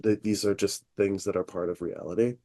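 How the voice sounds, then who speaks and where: Man, fairly deep voice, therapist co-host, reflective, candid, casual talk, fillers. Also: steady tone, brisk, dark tone. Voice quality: relatively deep voice. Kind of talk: casual chat.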